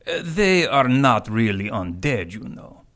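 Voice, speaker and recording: Radio drama role: fastidious, formal aging intellectual inventor, very lively pitch movement. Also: male voice. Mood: aloof, precise.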